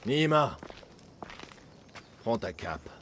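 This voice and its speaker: An actor playing a weary older warrior father in a video game, solemn and measured, haunted by grief. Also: mid-range voice, very animated, fairly bright tone, soft background music. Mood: sorrowful, tender. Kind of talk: dramatic video game acting, French dub.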